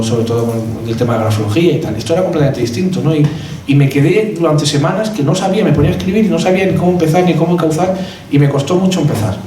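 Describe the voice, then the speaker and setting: The Castilian man you would hear from the back row of a book presentation, a mellow-voiced author wandering haltingly through anecdotes, a little far from the microphone. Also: a little high. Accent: Castilian Spanish. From Madrid, Spain. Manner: halting, rambling, hesitant with repeated words. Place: event hall at a cultural centre. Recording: roomy echo, distant mic, background noise.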